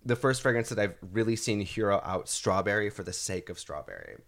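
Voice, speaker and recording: A man with a congested voice co-hosting a podcast, chatting casually and gossiping with quick asides and bursts of laughter. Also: on the low side, sing-song, vocal fry, a bit bright. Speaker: podcast co-host. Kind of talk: casual chat.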